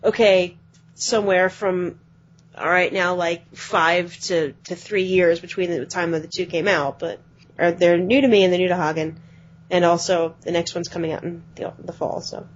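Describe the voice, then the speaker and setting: A woman co-hosting a podcast, chatting quickly about video games and often letting her phrases trail off. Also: on the low side, fairly even intonation. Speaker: podcast co-host. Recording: dull lo-fi podcast audio.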